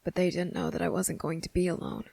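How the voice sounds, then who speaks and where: Woman voicing a guarded, gravelly-voiced young boy, hushed. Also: lowish voice, steady tone, no room sound. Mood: quiet, reflective. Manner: hushed, halting, pauses mid-thought. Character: young boy, guarded and fed up, confronting a hidden monster. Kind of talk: ASMR roleplay monologue.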